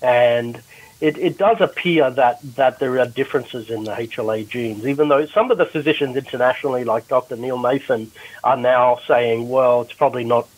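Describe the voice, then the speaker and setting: Husky-voiced man explaining a health topic. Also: medium pitch, moderate pace, poor audio. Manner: explanatory, with frequent restarts. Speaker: doctor presenter. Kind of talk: panel discussion, explaining. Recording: replay of a Clubhouse audio room.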